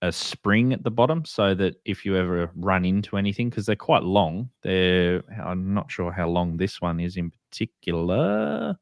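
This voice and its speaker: A man's voice: low-pitched, animated, moderate pace, vocal fry, few pauses, no echo, studio quality. A man with an Australian or New Zealand accent, chatting informally as a show host while explaining products to beginners. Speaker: co-host of a radio hobby show. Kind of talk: casual product walkthrough for beginners.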